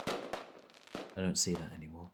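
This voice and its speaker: An actor playing a melancholy colourblind man, delivering an intimate, halting and understated dramatic monologue. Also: low voice, flat delivery, noisy background. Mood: wistful. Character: melancholy colourblind man losing his regained colour vision.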